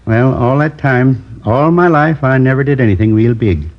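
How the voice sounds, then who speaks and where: An actor playing a lonely man in his seventies who confesses to a robbery in a slow, rambling, wistful way, in 1950s radio drama acting. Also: medium pitch, natural voice. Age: 70s. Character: lonely old male drifter turned robber. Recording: dull old radio broadcast.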